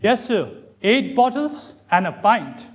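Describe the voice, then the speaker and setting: A young man playing a formal, deadpan, dry-witted Victorian manservant in a stage comedy. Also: sits high, slow pace, full character voice. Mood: deferential, deadpan. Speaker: student actor in a stage play. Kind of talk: stage comedy of manners acting.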